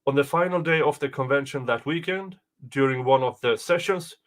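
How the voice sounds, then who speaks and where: A man reading aloud casually as a co-host, dropping in deadpan, hesitant asides. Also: medium pitch, a little fast. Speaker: co-host reading and reacting to a document.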